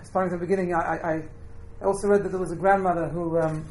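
Lower-voiced woman in an echoey room. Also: steady tone, a little mellow. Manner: joking, halting. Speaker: event organiser giving welcome speech. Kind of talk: informal welcome speech with jokes. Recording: echoey room, faint background noise.

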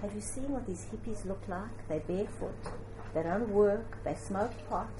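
A woman's voice: mid-range voice, moderate pace, roomy sound, background noise, lightly acted. A woman voicing an anxious, complaining American man in a spoken story, fretting with rhetorical questions. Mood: dismayed. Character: anxious American man dismayed by hippie youth.

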